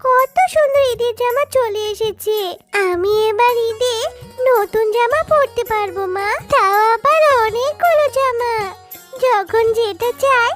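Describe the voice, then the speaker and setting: A woman's voice: very high-pitched, measured pace, loud music behind the voice. A woman voicing a sweet, coaxing little village girl in a children's cartoon, in a childlike manner. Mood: excited, eager.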